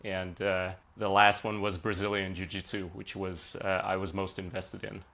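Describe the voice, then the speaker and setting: A man in his thirties, originally from Hungary, telling his story as a software engineer guest on a podcast, with plenty of fillers. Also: deep voice, level tone, moderate pace, creaky. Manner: conversational with frequent uh and like fillers. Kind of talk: podcast interview, relaxed storytelling.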